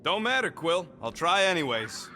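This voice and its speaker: A man's voice: high voice, big pitch swings, moderate pace, pushed and bright, a little hiss or hum, full character voice. A man voicing a cranky, wisecracking small furry creature mechanic in a video game, with sarcastic street-tough speech. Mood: grumbling.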